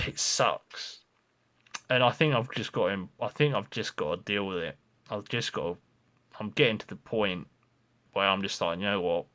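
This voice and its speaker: A man's voice: lowish voice, moderate pace, pauses often, pushed and bright. A man, talking frankly and ramblingly in a confessional vlog, with lots of restarts and swearing. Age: 20s. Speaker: vlogger.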